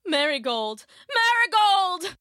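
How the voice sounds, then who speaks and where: A woman's voice: sits high, measured pace, sharp tone, no echo, clean studio sound, pitched above the performer's own voice. A woman playing a proud, greedy king and father in a story, declaiming in a pompous, theatrical way. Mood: anguished, remorseful.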